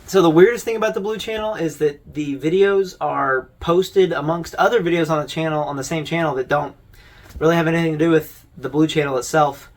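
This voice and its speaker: A man's voice: a little high, brisk. A man hosting a video essay, giving informal, conversational commentary as he explains things.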